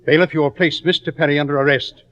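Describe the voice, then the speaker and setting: A man's voice: fairly high pitch, fairly even intonation, lightly acted. An actor in an old-time radio drama playing a stern, formal male judge with an authoritative manner. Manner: formal, authoritative, brisk questioning. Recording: dull vintage radio recording.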